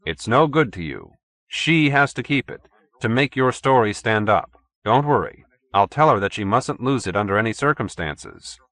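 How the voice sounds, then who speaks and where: A man voicing a gentle old man with a mild, earnest, reasonable way of talking, in an audiobook story. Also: mid-range voice, lively intonation, normal speed, no echo.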